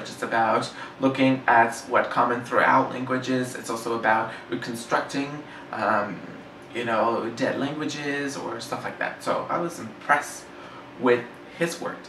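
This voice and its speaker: A man's voice: medium pitch, bright, forceful tone. A young man whose first language is French, chatting casually about a book, rambling and correcting himself as he goes. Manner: rambling, self-correcting, enthusiastic. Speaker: book reviewer. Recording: roomy, slightly distant mic, faint hiss.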